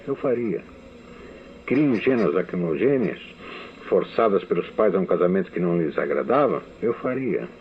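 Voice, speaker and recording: A Brazilian-born man, a radio novelist, telling his own history in a measured storytelling manner with dry asides, as an archival spoken memoir. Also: deep voice. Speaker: radio novelist giving a testimony. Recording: tinny lo-fi archival radio recording.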